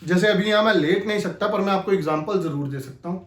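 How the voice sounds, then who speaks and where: A man's voice: high-pitched, fast talker, reverberant. A man giving an instructional talk as an acting coach, explaining emphatically. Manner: explanatory, emphatic, repeats key phrases to demonstrate.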